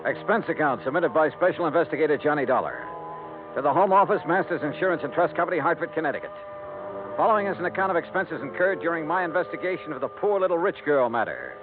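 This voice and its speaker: An actor playing a brisk, dryly unimpressed American insurance investigator in a 1950s radio detective drama, with clipped wry delivery. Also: male voice, on the high side, animated, natural voice. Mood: dry narration. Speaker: lead actor, narrator. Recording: tinny band-limited old radio broadcast with music.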